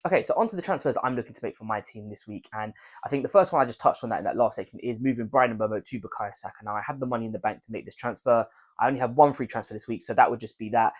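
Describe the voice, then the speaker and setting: Young man, croaky voice from a cold, rapid casual commentary, rambling delivery. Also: mid-range voice. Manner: rambling, repeats words, hedges with "I think". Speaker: fantasy football YouTuber.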